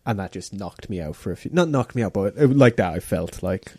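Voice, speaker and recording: Male co-host, low mellow voice, hesitant explainer, dry close sound. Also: animated, brisk, dry recording. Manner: hesitant, explaining with many fillers and restarts. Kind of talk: casual chat.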